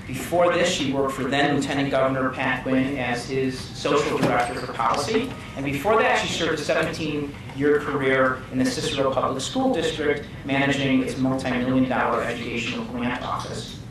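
A man's voice: mid-range voice, moderate pace, hardly any pauses. A man in his thirties moderating a panel discussion, upbeat and friendly, at a conference room table mic. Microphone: table mic on stand. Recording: echoey room, mic at a distance, noisy.

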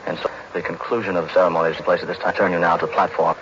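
A man with an American accent giving live 1940s radio news commentary as an announcer, formal and measured, on an old broadcast recording. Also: deep voice, level tone, muffled recording, very noisy.

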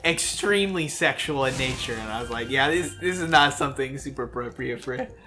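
A man's voice: mid-range voice, animated, fairly quick, bright, forceful tone. A young man co-hosting a let's play, chatting casually as he follows the game. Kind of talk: casual gaming chat. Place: indoors, voice-over on game footage. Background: faint game music.

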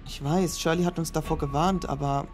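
A man's voice: on the high side, fairly even intonation, a little fast, faint music behind, close to an everyday voice. A young man playing a cautious student who reads old notes aloud, in an audio drama. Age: young adult. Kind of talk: amateur audio drama acting.

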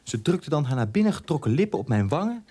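An actor playing a bitter, neglected German son in a radio drama, in a halting, conversational monologue. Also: male voice, a little high, very animated, dark tone, husky. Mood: disgusted. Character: bitter German son born 1960, neglected by his father.

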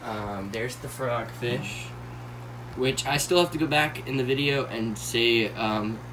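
A man's voice: mid-range voice, slow, background noise. A teenage boy with a stuffy nose, rambling casually with lots of fillers while he films his pet tanks at home on a handheld phone. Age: teens. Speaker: pet channel host. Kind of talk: casual pet care vlog. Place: home room full of tanks. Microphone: handheld phone filming tanks.

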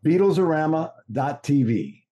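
A man's voice: fairly high pitch, expressive, moderate pace, warm tone. A middle-aged man who works in voice-over, chatting casually as a podcast guest on a video call. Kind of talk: casual chat. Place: home room on a video call.

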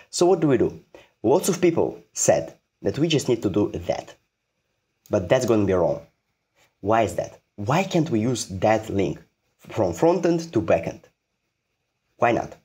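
A man's voice: on the low side, a little mellow, husky. A man giving an informal programming tutorial, repeating phrases for emphasis and posing questions that he answers himself.

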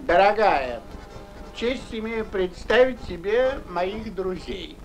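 A man's voice: sits high, expressive, slow pace. An actor playing a pompous, self-important rich mole bridegroom in a classic cartoon, drawing out his words theatrically. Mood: pompous, courtly. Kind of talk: classic Soviet cartoon voice acting. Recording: old cartoon soundtrack, faint music.

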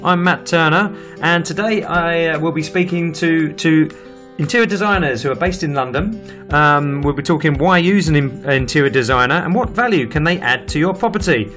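A man hosting a radio property show, chatting casually with guests and often pausing on fillers and restarts. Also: a little high, fairly bright tone, faint music behind. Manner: hesitant, many fillers and restarts.

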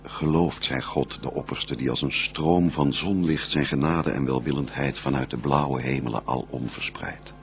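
A man's voice: a bass voice, normal speed, gravelly, hardly any pauses, soft background music. An actor playing the first man, a reverent and grateful bridegroom, declaiming formal verse in a radio play. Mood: grateful, devout.

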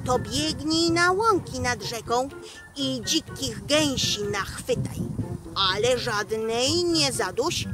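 Tiny male devil for a storybook, acted out by a woman: stern, emphatic, scolding, high and deliberate. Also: full character voice, poor audio. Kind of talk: children's fairy-tale storytelling. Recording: music behind.

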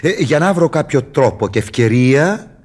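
An actor in a radio drama playing a sly, mocking devil who wheedles and teases in a declamatory way. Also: male voice, medium pitch, lively intonation, darkish tone, natural voice. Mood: teasing. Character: sly, mocking devil tempter and fixer, male. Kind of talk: theatrical radio drama acting.

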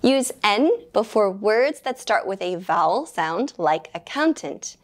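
Slow, clear woman teacher. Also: mid-range voice, animated. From United States. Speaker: English teacher. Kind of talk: scripted beginner grammar lesson. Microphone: lapel mic.

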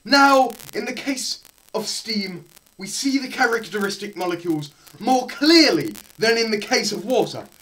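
A young man performing a theatrical scripted lecture, melodramatic, swinging between hushed murmurs and loud cries. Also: sits high, animated, moderate pace, low-quality recording. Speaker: presenter performing a dramatised physics lecture. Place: small plain room indoors.

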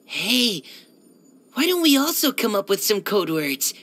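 A timid, earnest young male surveyor from a video game, speaks. The voice is bright and forward, sitting at a middling pitch for a female voice. He is courteous and a little hesitant, always keen to be useful. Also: big pitch swings, unhurried. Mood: earnest, friendly. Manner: hesitant, soft-spoken politeness, eager to help. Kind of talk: video game character voice-over.

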